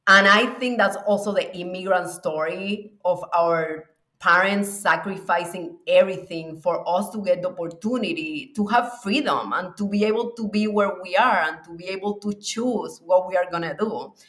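A woman's voice: on the low side, moderate pace, fairly bright tone, roomy sound. A woman from Cuba with a Puerto Rican accent, a mindset coach speaking as a podcast guest, enthusiastic and reflective, often laughing as she talks. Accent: Puerto Rican Spanish. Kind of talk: casual podcast interview.

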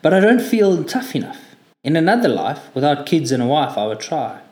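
A man's voice: on the high side, moderate pace, pitched above the performer's own voice. A man putting on a chatty French driver. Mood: wistful. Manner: musing, philosophical, animated. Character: chatty chain-smoking older Frenchman driver. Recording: roomy echo.

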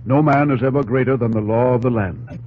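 A man's voice: medium pitch, a little fast, close to an everyday voice. Man playing a dignified oratorical statesman, muffled recording. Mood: stately. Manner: oratorical, earnest. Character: dignified, formal, high-minded male statesman. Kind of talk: old radio drama acting. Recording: dull old radio recording, faint hum.

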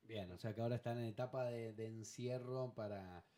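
A man's voice: lowish voice, a little fast, dark tone. A man hosting a radio interview in a studio, asking questions in an upbeat, announcer-like way. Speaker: radio host. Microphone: studio microphone.

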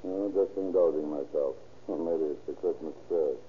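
An actor playing a tough but soft-hearted police detective in a radio crime drama, with an American accent and a clipped, slangy, wry delivery. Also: male voice, rumbling low, normal speed, over loud music. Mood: softening. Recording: scratchy, tinny old radio recording.

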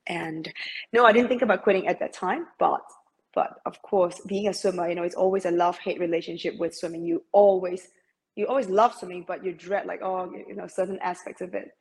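Casting note: young woman from Malaysia, lowish voice with vocal fry, halting and chatty in an interview. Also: steady tone, low-quality recording. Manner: hesitant, many fillers and restarts, laughs often. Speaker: interviewee, former competitive swimmer. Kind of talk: casual interview answers.

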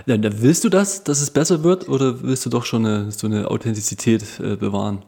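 A man with a Saxon accent, co-hosting a podcast and telling stories in a lively way with halting restarts. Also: medium pitch, vocal fry, husky. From Saxony, Ore Mountains, Germany. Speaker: podcast co-host. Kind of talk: casual chat.

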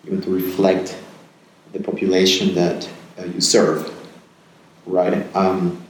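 Podcast guest, man in his 30s from Peru; deep voice, reflective and repetitive delivery; echoey room with faint hum. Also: flat delivery, slow pace. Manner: thoughtful, repeats words and tags sentences with "right?". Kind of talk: conversational interview answers.

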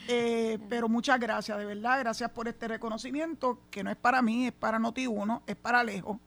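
A woman's voice: fairly high pitch, steady tone, fairly quick, fairly bright tone. Outspoken Puerto Rican woman radio host. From Puerto Rico. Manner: outspoken, emphatic, laughs easily. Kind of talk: casual on-air radio chat. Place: radio studio.

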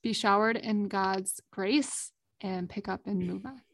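A woman's voice: mid-range voice, unhurried, vocal fry. A woman in her thirties co-hosting a casual faith podcast, playful and teasing, laughing often. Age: 30s. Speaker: podcast co-host. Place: in a room with the host, in person.

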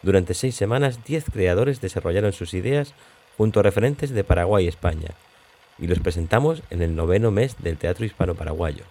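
Low-voiced male announcer. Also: fairly quick, darkish tone. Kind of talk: scripted introduction.

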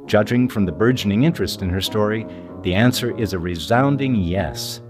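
A man's voice: fairly low pitch, normal speed, music in the background. A man hosting and reading scripted narration in a documentary style. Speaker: host and narrator.

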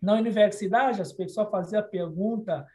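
Interviewee: man from Congo, non-native speaker, high mellow voice, earnest storyteller. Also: moderate pace. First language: not Portuguese. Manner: earnest storytelling with frequent restarts and soft laughs. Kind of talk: personal life story in an interview.

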